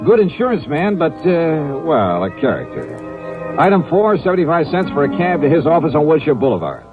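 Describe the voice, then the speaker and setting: A hard-boiled, wry investigator in an old radio drama. His clipped delivery swings in pitch. The recording is muffled and old, with loud music behind him. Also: very animated, brisk. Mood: dry, matter-of-fact. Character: brisk, wry male insurance investigator, hard-boiled narrator. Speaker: detective narrator and lead. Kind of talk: 1950s radio detective drama acting.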